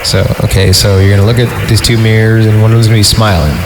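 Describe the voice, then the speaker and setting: A man's voice: fairly low pitch, flat delivery, quick pace, very noisy. A man hosting a podcast, casually reading a creepy story with wry, goofy, mock-dramatic asides. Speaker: podcast host. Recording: roomy sound with loud music behind. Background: loud music.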